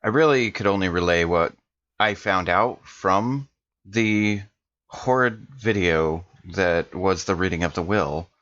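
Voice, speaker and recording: A man playing a reserved, wry illusionist in a tabletop game, speaking in a measured, hesitant way. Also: low-pitched, a bit bright, lo-fi sound. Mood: guarded, wry. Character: reserved male illusionist, adopted, plain-spoken and wry.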